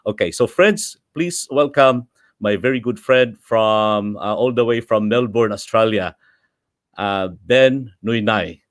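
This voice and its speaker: A middle-aged man with a Filipino accent hosts his own livestream in an easy, chatty way, laughing often and slipping between English and Filipino. His voice sits on the low side. The sound is dry and close, with no room echo. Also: unhurried. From Philippines. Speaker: host. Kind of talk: casual livestream talk.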